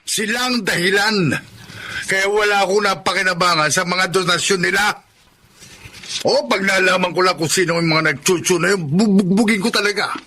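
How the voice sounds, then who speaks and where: A man's voice: high voice, normal speed, bright, forceful tone. A Filipino man playing a corrupt, hot-tempered middle-aged village captain in a radio drama, blustering and domineering. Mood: angry.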